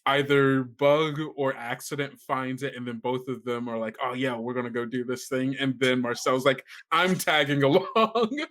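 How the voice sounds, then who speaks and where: A man's voice: mid-range voice, fairly even intonation, moderate pace, hardly any pauses, fairly bright tone. Man casually talking through game ideas. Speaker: player in an actual-play podcast. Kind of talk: casual collaborative game planning.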